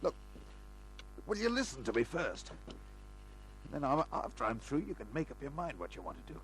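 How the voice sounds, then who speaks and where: A man's voice: a little high, big pitch swings, a bit bright, slight background noise, poor audio. An actor playing a wounded, desperate man on the run, stammering weakly in an old radio crime drama. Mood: desperate pleading. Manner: halting, stammering, pleading. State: weak, in pain.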